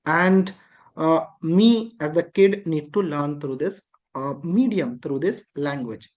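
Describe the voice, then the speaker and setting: Instructor, patient and repetitive, mid pitch. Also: takes it slowly, a little mellow. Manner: patient, repetitive explaining with frequent rhetorical questions. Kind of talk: explaining a lesson.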